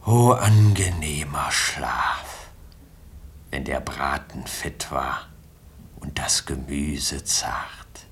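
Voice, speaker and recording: An actor playing a complacent, drowsy bourgeois in a radio drama monologue, smug and languid, with a breathy, husky voice. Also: male voice, a bass voice, drawn-out words, fairly bright tone, a little hiss or hum, full character voice. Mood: languid, contented. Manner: smug, languid, partly whispered. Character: complacent, drowsy bourgeois sleeper savouring his comfort.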